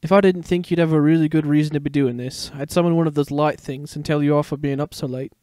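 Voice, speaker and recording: A man's voice: a little high, fairly even intonation, fast talker, mellow, dry recording, clean studio sound, lightly acted. A man voicing a playful, protective young father in a dramatic story reading. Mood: playful, concerned. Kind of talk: dramatic fan fiction reading.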